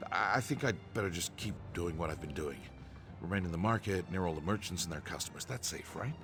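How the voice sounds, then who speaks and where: A man's voice: low voice, animated, a little fast. An AI-voiced man playing an earnest, nervous merchant in game dialogue, with faint music behind. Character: anxious male merchant clerk, earnest, proper.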